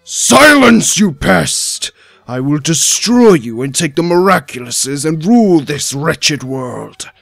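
A man's voice: a little high, sing-song, slow, gravelly, a bit bright, no room sound, faint music behind, heavily acted. A man voicing a domineering, wrathful supervillain, imperious and threatening, in a fan cartoon comic dub. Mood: enraged.

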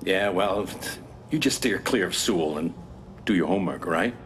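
A stern but protective American prison officer from a video game. He is middle-aged and blunt, with a fairly low voice that moves expressively, heard over clear background noise. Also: animated, normal speed, a bit bright. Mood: probing. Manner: blunt, fatherly.

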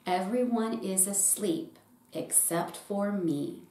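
A middle-aged woman, a pastor, reading a children's picture book aloud in short, deliberate phrases. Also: mid-range voice, dark tone. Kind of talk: storybook read-aloud for children. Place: small indoor room with bookshelf. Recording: roomy echo with faint background noise.

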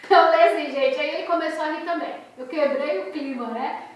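A woman in her thirties with a Brazilian Portuguese accent, telling a story to her viewers in a lively, expressive way from a small bedroom. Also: sits high, brisk, recorded from a distance. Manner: animated, expressive storyteller, gestures a lot. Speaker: crochet and crafts channel host. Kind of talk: casual storytelling vlog to viewers. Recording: echoey room, voice off the mic.

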